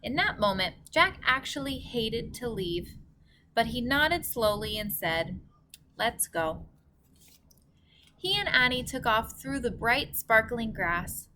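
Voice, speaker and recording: A woman reads a children's storybook aloud in a bright, forward voice. She takes her time like a teacher with young listeners, pronouncing things carefully, with some noise behind her. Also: on the high side, expressive, unhurried. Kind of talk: storybook read-aloud narration.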